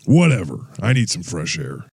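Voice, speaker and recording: A man playing an angry, bitter metahuman in an audio drama with a gravelly voice. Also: low voice, huge pitch swings, moderate pace, background noise, exaggerated voice. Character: angry, bitter, foul-mouthed male metahuman.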